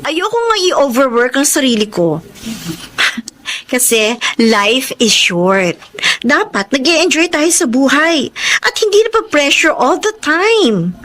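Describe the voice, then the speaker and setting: Sassy, high-pitched easygoing girlfriend. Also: slow, exaggerated voice. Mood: breezy. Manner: animated, code-switching into English. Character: easygoing, sassy young woman, coworker friend. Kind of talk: Filipino radio drama acting.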